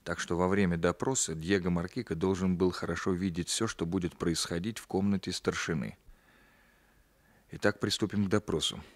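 An actor in a 1960s radio play, playing a shrewd police captain with a measured, precise, ironically polite manner. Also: male voice, low-pitched, steady tone. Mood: measured, formal. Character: shrewd, composed male police captain interrogating a suspect. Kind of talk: 1960s Soviet radio play acting, alternating narration and dialogue.

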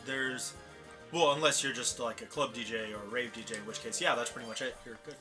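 A man's voice: medium pitch, fairly quick, music in the background. A man hosting a let's play, chatting casually with friends, laughing often and reading character lines aloud. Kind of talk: casual gaming chat with friends.

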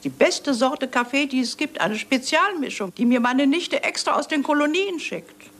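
An actress playing an older, chatty and fussy English spinster aunt in a German crime radio play, rambling effusively. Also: female voice, high voice, animated, moderate pace, fairly bright tone, a little hiss or hum. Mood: chatty, indignant.

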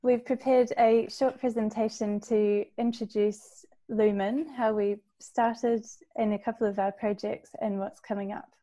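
A woman from New Zealand, an artist and curator, introducing herself in a measured way on a video call. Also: on the high side, fairly even intonation, warm tone.

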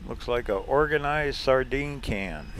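A man's voice: medium pitch, slow pace, fairly bright tone, slight background noise. A man narrating a casual travel vlog to the camera, chatty and chuckling often, recorded outdoors and in his car on a camera's built-in mic. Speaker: RV travel vlogger.

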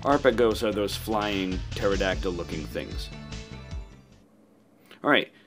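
The man on a retro gaming channel, talking viewers through a level in a lowish voice over soft game music. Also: animated, unhurried. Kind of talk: casual playthrough commentary.

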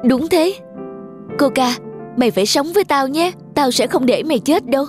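A woman's voice: high-pitched, expressive, normal speed, voice pushed up. A woman voicing a loyal young shepherd. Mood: desperate. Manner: loud, anguished calling. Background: music.

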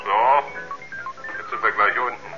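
An actor playing a young astronaut pilot in a 1970s radio drama, giving casual, clipped reports. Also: male voice, on the low side, fairly even intonation, measured pace, lo-fi sound, close to an everyday voice. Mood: focused, casual. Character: relaxed, matter-of-fact young male astronaut pilot. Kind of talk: 1970s radio play acting. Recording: band-limited space radio sound, music behind.

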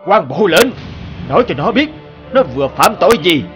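A man voicing a tyrannical middle-aged king, imperious and scolding, in an animated fairy tale. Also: sits high, moderate pace, faint music behind. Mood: angry.

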